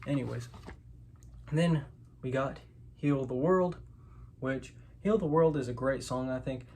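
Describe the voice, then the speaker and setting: A man's voice: mid-range voice, a little slow, a little mellow. A man hosting an informal, unscripted show-and-tell of a collection, hedging and correcting himself as he goes. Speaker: host, collector showing their collection. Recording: clear background noise with clicks and clatter.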